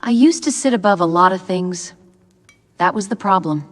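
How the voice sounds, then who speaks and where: A woman playing a proud, spoiled young princess in an animated fairy tale, with a haughty, dryly sarcastic delivery. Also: medium pitch, normal speed, natural voice. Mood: wounded pride. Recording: music behind the voice.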